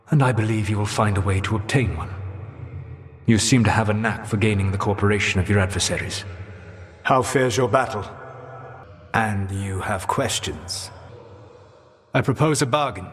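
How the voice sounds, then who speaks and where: Male actor as proud ancient elf mage, low voice, solemn and regretful, measured formal delivery, long reverb, video game dialogue. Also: lightly acted. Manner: measured, formal, deliberate, grave.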